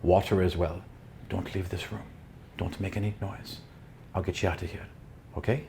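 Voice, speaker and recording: A man voicing a big, older sea captain in a tabletop game, gruff but protective, with a folksy, fatherly manner. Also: sits low, level tone, moderate pace, a little mellow, slight background noise, voice pushed down. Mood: hushed, firm. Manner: folksy, fatherly, calling the listener boy.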